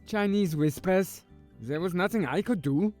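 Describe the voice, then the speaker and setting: Comic castaway, played by a man: plain-spoken and blunt, fairly high voice over faint music. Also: unhurried, a little mellow. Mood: excusing. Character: blunt, matter-of-fact member of shipwrecked crew. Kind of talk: comic audio story.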